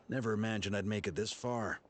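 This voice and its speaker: An actor playing a seasoned expedition commander in a fantasy game, wry, commanding and philosophical, with folksy turns of phrase. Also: male voice, lowish voice, steady tone, normal speed. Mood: reflective.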